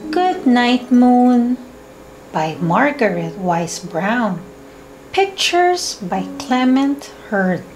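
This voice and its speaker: A woman teaching young children, talking in a slow, sing-song, encouraging way and reading a picture book aloud. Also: fairly high pitch, very animated, a little mellow, faint music behind, rough recording. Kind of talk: children's lesson and storybook reading.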